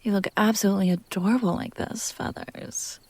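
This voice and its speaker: A woman playing a possessive, teasing demon in an intimate scripted audio roleplay, speaking theatrically with drawn-out phrases that drop toward whispers. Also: medium pitch, steady tone, a little mellow, lightly acted. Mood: teasing, admiring.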